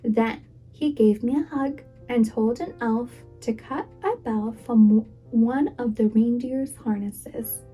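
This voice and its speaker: A woman reading a children's story aloud as a preschool teacher would, with dramatic, deliberate expression. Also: fairly high pitch, dark tone, breathy edge, poor audio. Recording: music behind the voice. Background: music.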